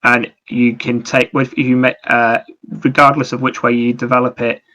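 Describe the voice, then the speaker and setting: A man's voice: mid-range voice, level tone, normal speed, sharp tone. A man chatting casually as a podcast co-host about video games, explaining things in a rambling, anecdotal way.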